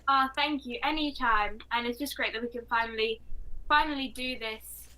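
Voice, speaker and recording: A woman's voice: fairly high pitch, moderate pace, bright, forceful tone, low-quality recording. A fourteen-year-old girl with a London British accent, talking casually and at length as an interview guest. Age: 14 years old. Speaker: interview guest, motivational speaker.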